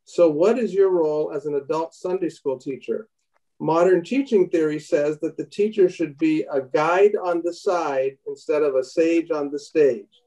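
A man in his fifties leading a bible study on a video call, reading aloud from a book with halting restarts. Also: fairly high pitch, warm tone, distant mic, poor audio. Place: home room indoors. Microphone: laptop webcam on a video call.

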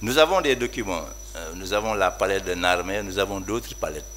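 A man speaking at a conference, weighing his points in a measured, reflective way. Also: deep voice, fairly bright tone, a little hiss or hum. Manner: measured, reflective, hedging remarks. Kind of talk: academic talk.

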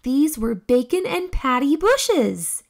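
A young woman reads a children's story with a high, lively voice. Her phrasing is slow and deliberate, with expressive emphasis as she narrates for young listeners. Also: gravelly. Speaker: children's read-aloud host. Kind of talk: animated scripted children's storybook reading.

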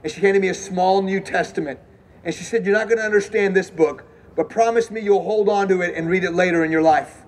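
Animated high-voiced preacher on a dark stage. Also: male voice, a little fast. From Houston, Texas. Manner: animated, conversational, asks the crowd questions. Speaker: campus preacher. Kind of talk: evangelistic sermon with testimony. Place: dark stage in a large hall.

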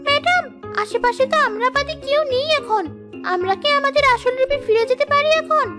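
A performer voicing a naive, eager little ghost schoolgirl with a squeaky childlike voice in a children's cartoon. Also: fairly quick, over loud music. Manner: eager, innocent.

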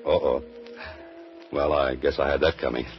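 A man's voice: very deep voice, little pitch movement, normal speed. An actor playing a dry, wisecracking male mystery writer in a 1940s radio drama. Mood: dry, wary. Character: wry male mystery writer turned adventurer. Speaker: lead actor. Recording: dull old radio broadcast with music.

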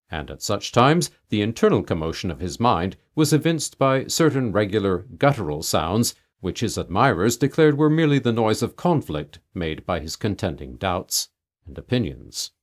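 A man reading a literary tale aloud as a narrator, in a measured, formal storytelling manner. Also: on the low side, very animated. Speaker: audiobook narrator.

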